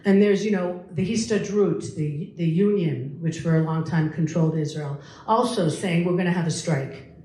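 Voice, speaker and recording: A woman's voice: mid-range voice, a little mellow. A middle-aged or older woman giving a conversational public lecture, explaining her opinions and repeating words for emphasis. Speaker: guest speaker. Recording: echoey room with faint background noise.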